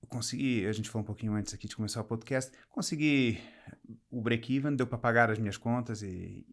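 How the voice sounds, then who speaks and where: A man's voice: medium pitch, fairly quick. Man with a Portuguese accent, reflective and explanatory. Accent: Portuguese, softened by years in Brazil. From Portugal, raised there from age seven. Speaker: podcast guest, business executive. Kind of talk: conversational interview, personal career account.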